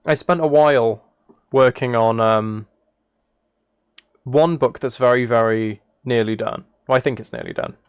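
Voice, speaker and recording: A man chatting casually as a live game streamer, musing aloud in a relaxed, low-key way. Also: unhurried, smooth, dry recording. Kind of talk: casual chat over Minecraft gameplay.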